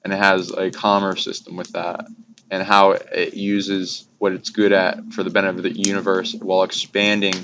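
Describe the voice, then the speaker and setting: Low monotone man rambling, lo-fi. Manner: halting, trailing phrases with abstract jargon. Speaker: speaker on esoteric topics. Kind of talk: casual rambling spiritual discussion. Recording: dull lo-fi recording with clear background noise.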